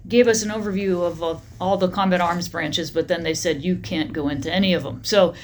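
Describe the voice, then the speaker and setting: A middle-aged woman army general answering interview questions in a matter-of-fact, steady way. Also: lowish voice, brisk, slight background noise.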